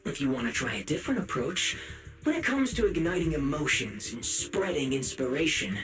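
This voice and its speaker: A man's voice: on the high side, fairly bright tone, low-quality recording. A man playing a sinister, taunting video game sprite who poses as a caring advisor, theatrical and falsely sympathetic. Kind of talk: dramatic video game voice acting.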